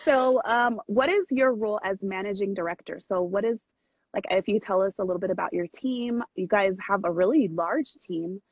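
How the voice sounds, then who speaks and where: A woman hosting a casual interview over a video call, with a slightly husky voice. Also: medium pitch, normal speed, no echo. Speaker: vlogcast host and interviewer. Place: private room on a moving train. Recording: muffled, dry video call audio.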